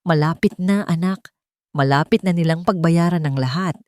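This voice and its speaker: A woman narrator voicing a cold, vengeful wealthy businesswoman in an audio story, deliberate and commanding. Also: lowish voice, a little mellow, no room sound, clean studio sound, natural voice. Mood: grieving resolve. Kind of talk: dramatized audio story dialogue.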